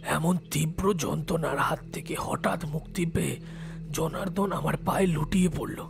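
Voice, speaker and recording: A man playing a solemn, compassionate tantric telling a horror audio story in a slow, hushed, deliberate way. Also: on the high side, vocal fry. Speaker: narrating lead character.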